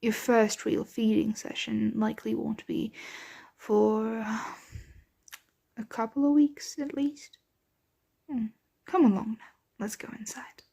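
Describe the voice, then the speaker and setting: A woman playing a doting, genteel vampire mistress in an ASMR roleplay, speaking softly and breathily in a soothing, possessive, coaxing manner. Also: on the high side, level tone, vocal fry, breathy edge, heavily acted. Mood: doting, reassuring. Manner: slow, coaxing, whispery soft-spoken delivery. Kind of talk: ASMR roleplay, soft intimate close speech.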